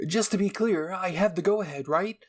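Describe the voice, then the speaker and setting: A man's voice: sits high, normal speed, pitched above the performer's own voice. A man voicing an eager, breezy woman scientist with a scheming streak, in a fan fiction reading.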